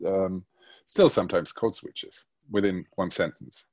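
A man's voice: sits low, unhurried, a little mellow, no echo. Hesitant man, dry sound. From Germany. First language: Spanish. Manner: hesitant, with frequent restarts. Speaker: guest. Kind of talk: reflective interview conversation.

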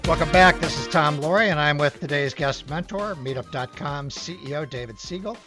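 A man's voice: fairly high pitch, a little fast, over music. A man hosting a radio talk show, interviewing a guest and announcing breaks in an announcer-like way. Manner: announcer-like, energetic intros.

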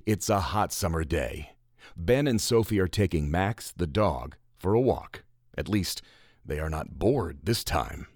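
A man's voice: low voice, animated, unhurried, darkish tone, dry recording, studio quality, full character voice. A man playing the narrator of a children's adventure in a scripted audio drama. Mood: scene-setting.

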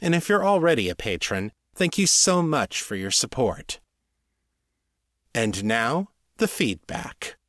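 A man's voice: medium pitch, sing-song, takes it slowly, a bit bright, dry recording. A man hosting a solo podcast, reading a scripted update in an earnest, articulate way, close on the microphone. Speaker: podcast host and fiction author.

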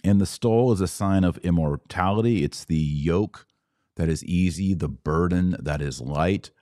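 Deep-voiced man hosting a commentary podcast. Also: normal speed, dark tone, dry recording, clean studio sound. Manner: didactic, explanatory, informal asides. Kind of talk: casual commentary, explaining to an online audience.

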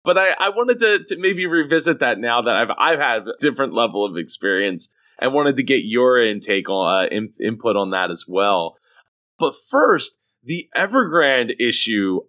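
A man's voice: medium pitch, big pitch swings, normal speed, bright, forceful tone. A man hosting a podcast, talking casually and emphatically about business, often repeating short phrases. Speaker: podcast host. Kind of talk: animated casual business discussion.

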